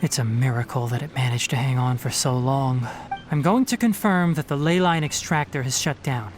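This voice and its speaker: A man playing a calm, sharp young forest ranger scholar in a video game, explaining things in an articulate, matter-of-fact way. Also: mid-range voice, moderate pace, lightly acted. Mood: somber, gentle. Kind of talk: scripted video game character dialogue. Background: background music.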